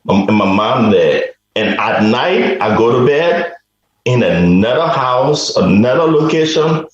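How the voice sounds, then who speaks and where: Slow, reflective man on an echoey line. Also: mid-range voice, expressive, dull audio. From Liberia. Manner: slow, reflective, with repeated restarts. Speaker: guest. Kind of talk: personal storytelling in a radio interview.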